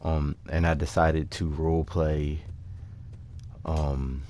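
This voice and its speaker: A man with a low voice talks through a video game build in a casual, unscripted style. He speaks informally and haltingly, slipping into slang as he explains his choices to viewers. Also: level tone, mellow. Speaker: gaming video creator presenting character builds. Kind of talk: casual unscripted gameplay walkthrough commentary.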